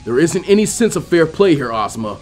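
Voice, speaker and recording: A man's voice: on the high side, sing-song, brisk, darkish tone, soft background music. A confident adult male martial arts master, acted by a male narrator in an audiobook. He is unbothered and stubbornly proud, stressing his words with assured emphasis. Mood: confident, defiant.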